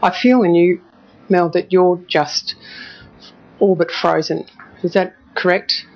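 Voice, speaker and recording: A woman's voice: lowish voice, fairly even intonation, takes it slowly. A woman with an Australian accent conducting a broadcast news interview in an earnest, probing, emotive way. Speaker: television journalist interviewing. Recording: dull, band-limited broadcast audio.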